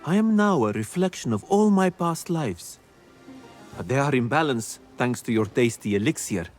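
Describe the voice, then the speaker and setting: A man voicing a wise ancient physician spirit, speaking in a solemn, grave and measured way in game dialogue. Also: mid-range voice, animated, faint music behind, close to an everyday voice.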